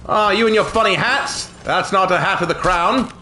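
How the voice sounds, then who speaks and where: A man's voice: high-pitched, normal speed, pushed and bright, slight background noise. A young man with a British accent streaming a game live, joking theatrically and cursing in British slang. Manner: theatrical, jokey, lots of British slang and swearing. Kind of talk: live gameplay commentary.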